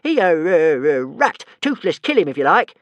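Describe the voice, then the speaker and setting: A man's voice: on the high side, sing-song, normal speed, no room sound, highs cut off, voice pushed up. A man voicing a small, sly male dragon who stutters and repeats words. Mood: gleeful.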